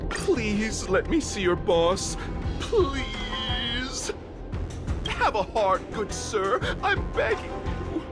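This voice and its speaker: A courteous, theatrical smuggler. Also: male voice, high voice, sing-song, extremely slow, full character voice. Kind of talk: game cutscene voice acting. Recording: game audio over music.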